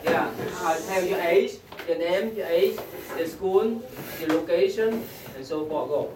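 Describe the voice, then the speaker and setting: A man's voice: high voice, measured pace, background noise. A middle-aged man teaching a youth class, speaking in simple broken English and prodding the students to speak up. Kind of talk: classroom roll call. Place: small indoor classroom. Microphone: far camera at the back of the room. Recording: echoey room from far off. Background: teenagers chattering.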